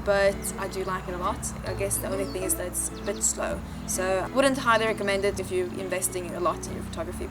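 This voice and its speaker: A young woman works through a casual question-and-answer session, giggling and hesitating as she thinks her answers out loud. Her voice sits at an ordinary middle pitch, and music plays clearly underneath her. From Sweden. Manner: laughing often, unsure and thinking aloud. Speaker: interview guest. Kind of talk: casual Q&A, reading questions off a phone.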